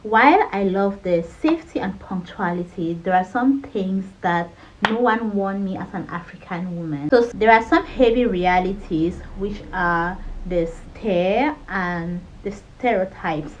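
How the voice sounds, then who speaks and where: A woman's voice: mid-range voice, unhurried, a little hiss or hum. Woman from Cameroon vlogging candidly, muffled sound. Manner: candid, conversational, frequent "you know". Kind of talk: personal vlog monologue.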